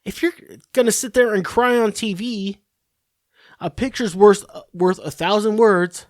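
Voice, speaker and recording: A man's voice: high-pitched, unhurried, no room sound, clean studio sound. A man hosting a podcast, ranting in a profane, rambling, sarcastic monologue. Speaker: podcast host.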